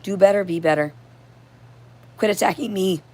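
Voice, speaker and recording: A woman with a hoarse voice giving a rambling, unscripted livestream monologue in a confrontational, profane and disjointed manner. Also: medium pitch, normal speed, dark tone. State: hoarse throat. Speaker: livestream host.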